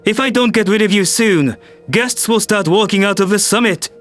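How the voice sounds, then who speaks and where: A man's voice: sits high, normal speed, a bit bright, faint music behind. A man playing a harried human world leader, tense and exasperated.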